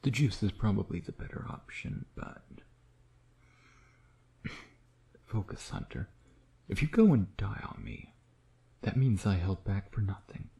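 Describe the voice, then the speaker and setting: A man playing a smug, flirtatious vampire who dotes on his prey in an intimate ASMR roleplay, teasing and murmuring with breathy asides. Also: lowish voice, sing-song, a little slow, pauses often, dark tone, heavily acted. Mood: attentive, teasing.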